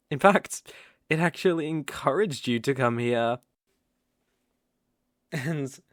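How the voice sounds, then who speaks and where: A man voicing a coaxing, possessive naga monster in a roleplay ASMR audio drama, with a creaky, teasing delivery. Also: mid-range voice, no room sound, studio quality, exaggerated voice. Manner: slow, coaxing, teasing with soft chuckles. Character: smooth-talking male naga monster, coaxing, possessive predator.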